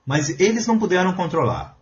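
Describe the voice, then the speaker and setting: A man's voice: high-pitched, wildly swooping pitch, fairly quick, highs cut off, a little hiss or hum. A man reading a translated spiritual lecture aloud in an earnest, emphatic preaching manner. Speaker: voice-over reader of a translated lecture.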